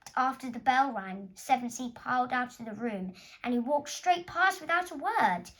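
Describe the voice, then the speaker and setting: Expressive high-voiced woman reading a storybook. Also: normal speed. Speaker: author reading own book. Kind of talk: expressive children's storybook narration.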